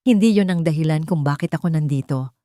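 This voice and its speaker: A hoarse, worn-out man who pleads quietly and insists on being heard, as a woman puts him on. His voice sits low and carries no room sound, in a dramatized audiobook read. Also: fast talker, no room sound, clean studio sound, natural voice. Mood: pleading. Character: weary, desperate young man, quiet and insistent.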